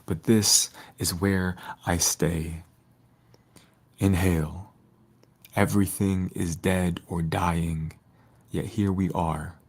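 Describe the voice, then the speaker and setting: A man with a low, husky voice reads spoken-word poetry. His delivery is solemn and measured, with a lyrical flow. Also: level tone. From Detroit, United States. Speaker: poet.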